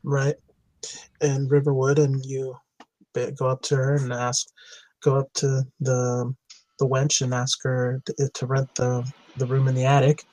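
Guy with a pretty monotone voice explaining stuff on a podcast, halting, dry audio. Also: mid-range voice, no echo, poor audio. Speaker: podcast panelist. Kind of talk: casual recounting of a game quest.

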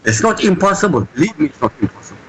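A man's voice: on the high side, very animated, rapid-fire, fairly bright tone, slight background noise, low-quality recording. A man from Iraq, a guest answering interview questions in an emphatic, insistent way. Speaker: guest commentator.

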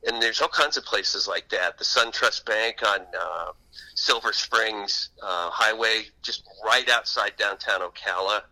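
A man's voice: fairly low pitch, unhurried, bright, forceful tone, rough recording. A man being interviewed as an author, telling anecdotes in a conversational radio style.

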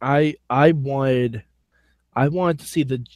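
A man's voice: medium pitch, slow pace, a little mellow, no room sound. A man co-hosting a podcast in casual, blunt, wisecracking chat peppered with swearing. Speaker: podcast co-host.

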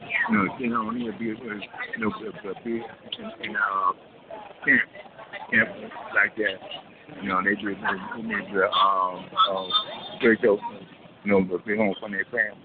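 A man protesting outdoors who talks haltingly, stumbling and repeating "you know". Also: lowish voice, animated. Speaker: protester being interviewed. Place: outdoors on a city street. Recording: tinny phone line with street noise.

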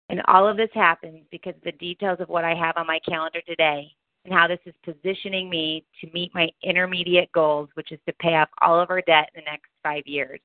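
A woman's voice: on the low side, dry recording. A woman reading a scripted first-person visualization in affirming, declarative phrases over a telephone conference line. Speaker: speaker reciting a personal vision. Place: telephone call. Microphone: phone. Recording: tinny phone conference line.

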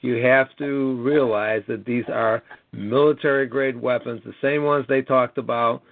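A man's voice: mid-range voice, fairly even intonation, a little slow, vocal fry, dry recording. An American man in his late sixties talking on a conference call in a rambling, earnest way, often punctuating points with an emphatic "okay?". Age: late 60s. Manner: emphatic, repeatedly checking with "okay?". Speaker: caller. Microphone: telephone. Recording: narrow, tinny phone line.

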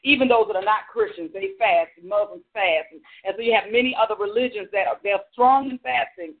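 A man's voice: high voice, fairly quick. Congested man teaching, over a phone line. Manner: loose, filler-heavy teaching. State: congested from allergies. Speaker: pastor teaching a prayer class. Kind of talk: church class lecture with prayer. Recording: narrow phone-line sound on a talk stream.

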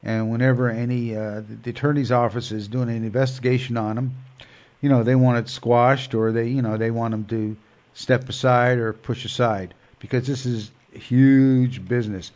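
A man's voice: medium pitch, fairly even intonation, normal speed, darkish tone. A man with a cold and a stuffed-up nose, hosting a show in a rambling casual monologue full of fillers and restarts. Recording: dull lo-fi sound.

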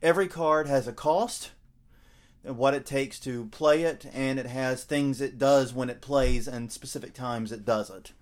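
A man's voice: mid-range voice, unhurried. A man co-hosting a casual podcast, talking things over with frequent fillers and self-corrections. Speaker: podcast co-host.

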